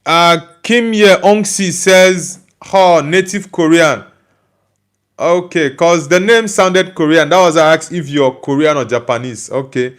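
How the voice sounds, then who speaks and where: A young man with a Nigerian accent hosts a casual live football stream. His voice sits a little high. He talks loosely, with plenty of fillers and restarts as he answers the chat. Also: a little slow. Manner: loose, hesitant talk with many fillers and restarts. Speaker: football channel host reading live chat.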